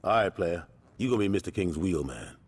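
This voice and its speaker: A man's voice: deep voice, lively intonation, a little fast, husky. A man acting a commanding, persuasive street gang leader in a video game, talking in emphatic street slang. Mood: brisk, satisfied.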